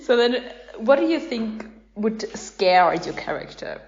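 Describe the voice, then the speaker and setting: A woman's voice: on the high side, big pitch swings, unhurried, a little mellow, dull audio. A woman hosting a podcast, asking questions in a casual interview chat. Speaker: podcast host interviewing cast members.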